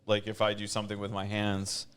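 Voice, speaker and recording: A man's voice: on the low side, completely flat delivery, brisk, a bit bright, smooth. A young adult man hosting a casual podcast chat, asking curious, joking questions. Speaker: podcast host.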